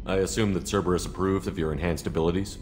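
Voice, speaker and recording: An actor playing a male military commander in a video game, with an even, measured delivery. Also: deep voice, soft background music, natural voice. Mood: steady. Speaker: ship commander.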